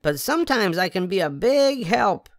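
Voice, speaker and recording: A man acts out a small, eager storybook girl with plenty of determination. The voice is high and very animated, with a dry sound. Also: normal speed, creaky, dry recording, exaggerated voice. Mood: proud.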